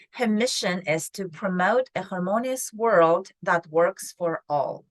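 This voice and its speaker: A woman's voice: mid-range voice, fairly bright tone, dry recording. A woman hosting an interview podcast who greets and introduces her guest effusively. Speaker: podcast host.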